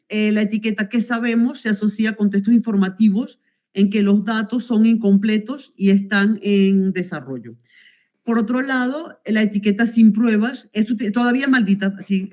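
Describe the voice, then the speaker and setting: A woman's voice: medium pitch, darkish tone, dull audio. A woman from Venezuela, presenting research results at an academic conference over a video call and hesitating with many fillers and self-corrections.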